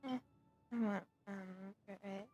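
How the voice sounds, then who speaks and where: A woman's voice: medium pitch, steady tone, slow pace, warm tone, lo-fi sound, faint music behind. A woman streamer thinking aloud during gameplay, murmuring hesitantly with many ums. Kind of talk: casual gameplay commentary.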